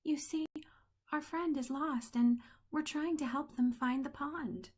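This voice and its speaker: A woman voicing a kind, cheerful young female puppy who speaks gently. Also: high voice, fairly even intonation, unhurried, voice pushed up. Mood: gentle. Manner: bright, gentle, softly spoken.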